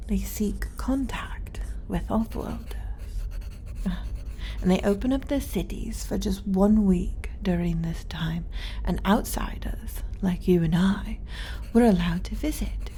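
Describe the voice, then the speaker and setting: A woman playing an earnest, bookish xenobiologist in an ASMR sci-fi roleplay, explaining in a hesitant, soft, whispery, slightly flustered academic way. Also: mid-range voice, slight background noise, natural voice.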